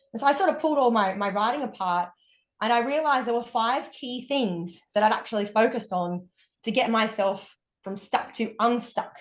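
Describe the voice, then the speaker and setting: Chatty Australian woman, upbeat storyteller, echoey room. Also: mid-range voice. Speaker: guest, wellness coach. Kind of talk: casual interview storytelling.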